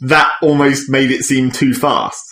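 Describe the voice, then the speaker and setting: A man's voice: medium pitch, steady tone, a little slow, fairly bright tone. A man in a casual gaming chat, explaining things informally with plenty of "sort of". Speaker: podcast panelist. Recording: echoey room.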